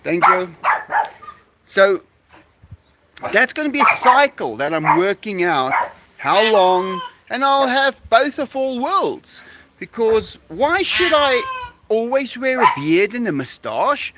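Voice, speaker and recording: A man's voice: sits high, sing-song, slow pace, background noise. A man from South Africa with an Afrikaans accent, chatting casually on his live stream, laughing often and playing with his dogs. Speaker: livestreamer.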